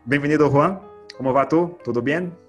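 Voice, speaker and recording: A man's voice: a little high, normal speed, faint music behind. Brazilian-sounding man hosting a chatty podcast. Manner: mixes Portuguese words into Spanish, many restarts. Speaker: podcast host. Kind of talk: casual interview chat.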